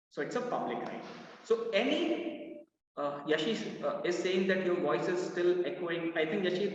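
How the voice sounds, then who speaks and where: A man with an Indian accent teaching a live online law class, with a loud voice, mixing Hindi phrases in. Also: a little high. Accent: Indian English. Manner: lively, mixes in Hindi phrases, reads out student answers. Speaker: law entrance mentor. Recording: echoey, roomy, distant, dull live-class audio.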